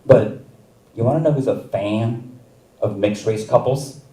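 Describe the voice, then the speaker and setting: A man performing a stand-up comedy set, with a wry, deadpan delivery and chuckles between jokes. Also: mid-range voice, a little slow, far from the mic. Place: comedy theater stage. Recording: roomy live stage mic from afar.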